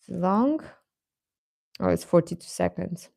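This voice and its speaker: A woman's voice: fairly low pitch, lively intonation, measured pace, creaky, warm tone. A woman hosting a casual livestream, chatting to viewers in a hesitant, rambling, stop-and-start way. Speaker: livestream host.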